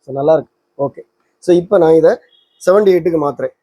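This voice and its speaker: A man's voice: fairly high pitch, fast talker, warm tone. A man giving an informal hands-on tutorial, explaining step by step and addressing viewers directly. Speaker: presenter of a record player tutorial channel.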